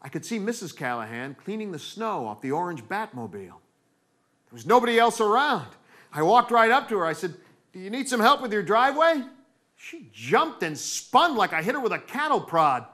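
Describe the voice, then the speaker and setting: A man's voice: high-pitched, big pitch swings, moderate pace, pushed and bright. A middle-aged American man performing theatrical comic storytelling on stage, acting out moments with dramatic delivery. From New England, United States.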